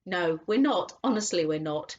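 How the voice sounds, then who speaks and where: A woman's voice: fairly low pitch, expressive, normal speed, a little mellow, close to an everyday voice. A woman voicing a nervous young girl who speaks timidly and hastily, in a dull lo-fi recording. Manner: timid and hasty.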